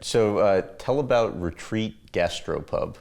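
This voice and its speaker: A man's voice: on the low side, expressive, a little slow, creaky, a little mellow. A man hosting an interview, asking short, prompting questions in a conversational tone. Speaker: interviewer host.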